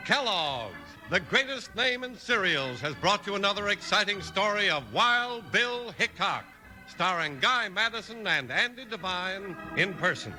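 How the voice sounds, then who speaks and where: A man's voice: high-pitched, big pitch swings, a little slow, sharp tone, faint music behind, poor audio. An American man announcing a 1950s radio show with its credits and sponsor read. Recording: old 1950s radio transcription.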